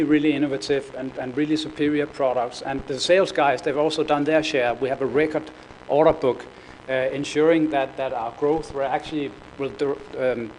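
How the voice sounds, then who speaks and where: A man's voice: on the high side, a little hiss or hum, low-quality recording. A middle-aged man from Denmark with a Danish accent, giving a conference presentation with frequent fillers and restarts. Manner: hesitant, many fillers and repeated words. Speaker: conference speaker. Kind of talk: business presentation. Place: conference room.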